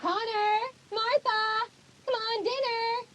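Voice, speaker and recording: A woman's voice: very high-pitched, steady tone, slow pace. A woman playing an eager, bubbly stepmother in an audio drama, cheery, coaxing and effusive. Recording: noisy old cassette.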